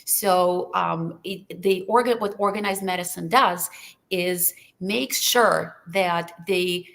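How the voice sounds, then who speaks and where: Russian-speaking woman from lithuania on a video call, gravelly voice, lots of ums and restarts. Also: fairly low pitch, slow, a bit bright. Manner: earnest, many fillers and restarts. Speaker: panelist anesthesiologist. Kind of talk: webinar talk.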